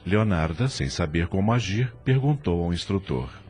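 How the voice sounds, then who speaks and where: A man narrating an audio drama from a script in an expressive, literary storytelling manner. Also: deep voice, normal speed, husky.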